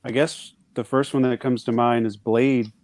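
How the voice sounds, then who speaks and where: A man's voice: mid-range voice, level tone, darkish tone, dry recording. Hesitant man, closely and dryly recorded. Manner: hesitant, tentative, pausing to think. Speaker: panelist. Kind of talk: casual panel chat.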